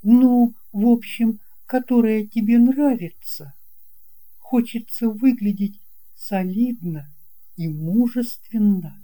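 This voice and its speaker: Lady doing shy little schoolboy for a storybook, kinda hesitant n thoughtful, pitched up. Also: takes it slowly, mellow, rough recording. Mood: musing. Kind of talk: audiobook character voice.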